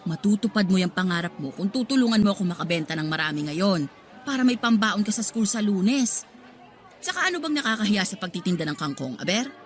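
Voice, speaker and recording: Woman playing scolding but loving market vendor mom, radio drama, expressive, bit of music under. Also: mid-range voice, a little fast, close to an everyday voice. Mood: exasperated, scolding. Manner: expressive, exasperated, motherly. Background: music bed.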